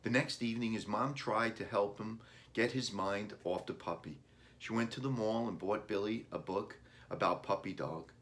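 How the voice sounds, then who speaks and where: A man's voice: deep voice, fairly bright tone. A man reading a children's storybook aloud as its author, in scripted narration. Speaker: author reading own book.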